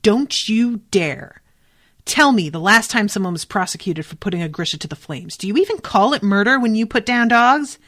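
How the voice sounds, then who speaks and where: A woman's voice: mid-range voice, lively intonation, moderate pace, a bit bright, natural voice. Defiant young magic-user from a novel, read by a woman: accusatory, impassioned, scornful. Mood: indignant, accusing. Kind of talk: audiobook-style novel reading.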